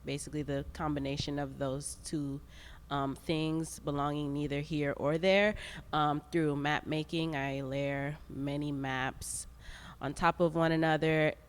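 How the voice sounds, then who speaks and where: Woman in her twenties, from Saint Lucia, smooth, clear and relaxed panel speaker. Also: deep voice, a little slow. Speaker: artist on a panel. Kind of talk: casual self-introduction. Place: art gallery.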